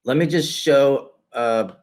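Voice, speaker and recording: A man's voice: medium pitch, slow pace. A man interviewing a client and conversationally explaining results on a shared screen, step by step. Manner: explanatory, walks listener through results step by step. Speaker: interviewer and marketing consultant.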